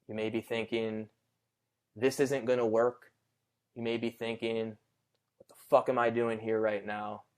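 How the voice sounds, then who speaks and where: A man leading a guided meditation conversationally, in a gentle, reassuring, unhurried way with pauses between phrases. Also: lowish voice, little pitch movement.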